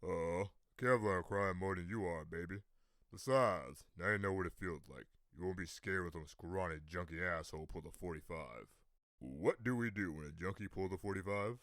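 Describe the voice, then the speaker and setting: A man playing a gruff, doting vigilante father in a comic dub, coaxing and commanding in a matter-of-fact way. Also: sits low, normal speed, a bit bright, no echo, studio quality. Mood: calm, coaxing. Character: gruff, doting vigilante father drilling his young daughter. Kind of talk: comic book dub acting.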